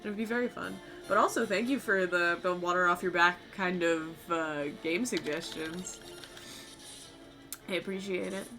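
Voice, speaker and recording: Low-voiced woman with vocal fry. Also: steady tone, moderate pace. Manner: rambling, talks to chat, swears casually. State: voice tired and sore. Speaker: streamer. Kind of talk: casual stream chat. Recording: faint music behind.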